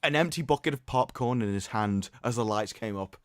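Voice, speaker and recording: A man hosting a livestream, reading aloud in a mocking, theatrical way with sarcastic asides and drawn-out emphasis. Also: mid-range voice, clear, resonant tone, no echo, clean studio sound. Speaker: livestream host. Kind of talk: mocking dramatic reading of an article with commentary.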